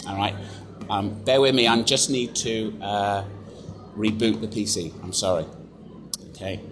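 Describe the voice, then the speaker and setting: Chatty English man demoing software. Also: on the low side, steady tone, unhurried, noisy background. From England. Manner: chatty, self-deprecating, many fillers. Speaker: software presenter. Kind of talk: live product demonstration. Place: conference room.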